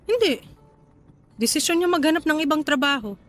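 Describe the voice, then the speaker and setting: A woman's voice: sits high, animated, a little fast, fairly bright tone, soft background music. A Filipino woman acting a guarded, evasive partner with a secret, in radio drama dialogue. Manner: hesitant, deflecting.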